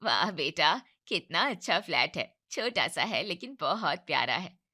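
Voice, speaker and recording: A woman puts on a booming, stern father-in-law in his middle years, scolding and demanding at a raised volume. The voice sits a little lower than an ordinary woman's and stays bright and forceful. It is a storybook telling. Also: sing-song, a little slow, heavily acted. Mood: pleased.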